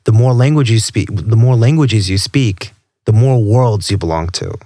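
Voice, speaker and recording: A man hosting a podcast interview, asking measured questions and recapping his guest's story. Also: on the low side, warm tone, dry recording. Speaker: podcast host.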